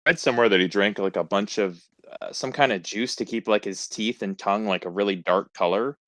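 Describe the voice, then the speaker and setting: A man's voice: lowish voice, fairly quick, a bit bright. A man chatting casually as a participant in a film commentary.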